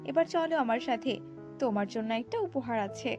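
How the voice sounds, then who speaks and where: A woman's voice: fairly high pitch, animated, moderate pace, dull audio, music in the background, natural voice. A woman voicing a proud, cold fairy queen in a cartoon, speaking in a haughty, defensive, commanding way. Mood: evasive, firm. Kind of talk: cartoon voice acting.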